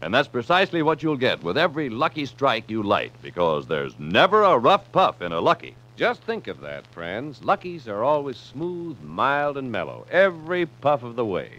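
An American man delivering a 1950s radio advertising pitch as commercial announcer. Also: huge pitch swings, moderate pace, fairly bright tone. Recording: muffled vintage radio broadcast.

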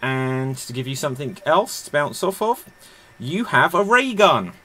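A man's voice: a little high, animated, a little slow, sharp tone. A man hosting an online improv comedy game over a video call, wearing a headset and announcing the rounds in an upbeat way.

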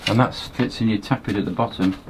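A man's voice: lowish voice, fairly even intonation, fast talker, background noise. A middle-aged man explaining engine parts step by step in a small boat cabin. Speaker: mechanic friend helping with the engine.

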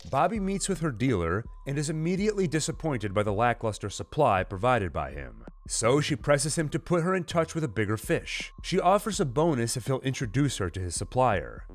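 A man narrating a scripted, dramatized story recap in the manner of a host. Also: medium pitch. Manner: acts out quoted dialogue within narration. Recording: music under the voice. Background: dramatic music and sound effects.

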